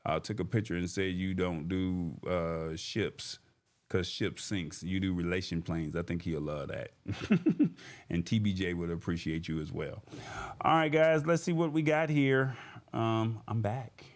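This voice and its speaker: A man with a fairly low voice hosts a tech livestream. He speaks informally and in a folksy way, addressing his viewers directly as he chats and reads things out. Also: unhurried. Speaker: host of a tech livestream show. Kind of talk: casual livestream chat, reading comments and news.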